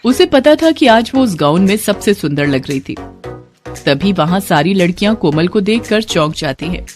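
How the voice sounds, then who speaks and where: A woman's voice: medium pitch, lively intonation, normal speed, faint music behind. A woman storyteller reading a scripted moral tale for children as the narrator.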